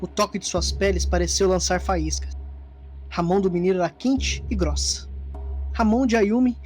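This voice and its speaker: A woman's voice: medium pitch, normal speed, darkish tone. A woman narrator reading a scripted story in a dramatic storytelling style. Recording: music under the voice. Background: background music.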